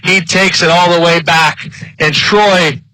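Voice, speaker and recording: A man's voice: high-pitched, fairly even intonation, takes it slowly, bright, forceful tone, no echo, poor audio. A man with an American accent giving color commentary and analysis during a live American football broadcast.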